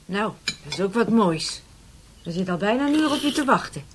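Woman playing a nagging, mid-pitched housekeeper. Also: sing-song, fairly quick, slight background noise, close to an everyday voice. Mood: fussing, cross. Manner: nagging, bustling, disapproving. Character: fussy, scolding, protective housekeeper of a priest. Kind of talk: Dutch radio drama acting.

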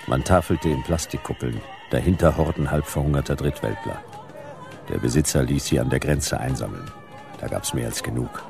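Noir radio lead: hard-boiled future private eye, dry and laconic, extremely low, creaky voice over music. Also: male voice, moderate pace. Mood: grim, weary. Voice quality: gravelly. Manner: laconic, dry, world-weary. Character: hard-boiled, sardonic male private detective in dystopian future. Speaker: narrator and lead character. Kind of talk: noir radio drama narration. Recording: music behind the voice.

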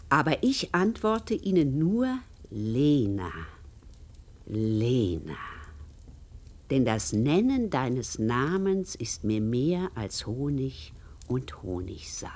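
An actress playing a devout, tender, grieving servant woman in a German radio play, moving between hushed and insistent delivery. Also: a bass voice, heavily acted.

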